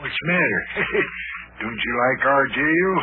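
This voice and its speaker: A man's voice: medium pitch, animated, a little slow, phone-quality sound, over music, close to an everyday voice. A man acting a folksy, easygoing, teasing small-town mayor in an old-time radio comedy. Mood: amused. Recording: thin, noisy old radio recording.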